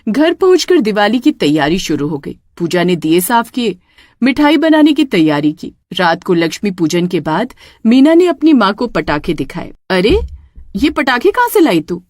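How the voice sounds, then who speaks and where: A woman's voice: medium pitch, big pitch swings, normal speed, darkish tone, no room sound. A woman narrating a scripted children's story as its storyteller.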